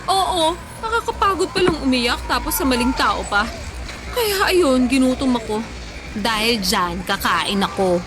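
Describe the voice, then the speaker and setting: A woman's voice: high-pitched, lively intonation, unhurried. A woman playing a touchy, whiny thirty-year-old woman friend in Tagalog radio drama dialogue. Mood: concerned, pouty. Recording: music bed under the voice.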